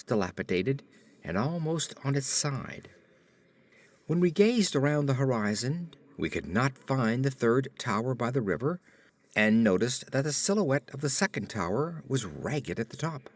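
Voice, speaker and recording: A man reading a horror story as an audiobook narrator, with theatrical, deliberate phrasing. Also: mid-range voice.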